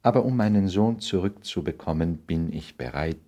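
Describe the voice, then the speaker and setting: An actor playing a cynical, polished aristocratic lord in a radio play, condescending and deliberate. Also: male voice, low voice, expressive, dark tone. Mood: coolly insistent. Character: cynical aristocratic English lord, suave and self-regarding. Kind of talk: 1960s radio play acting.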